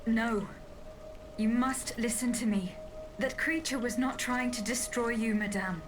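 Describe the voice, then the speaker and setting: A woman's voice: on the high side, measured pace, lightly acted. Woman playing a firm, earnest royal bodyguard. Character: composed, insistent bodyguard woman revealing hard truths. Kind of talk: dramatic video game cutscene acting. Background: music.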